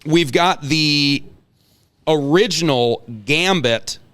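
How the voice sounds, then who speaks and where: A man's voice: on the high side, takes it slowly, a bit bright, clear, resonant tone. A man in his forties hosting a casual interview, talking informally with slang and rambling asides. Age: 40s. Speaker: host.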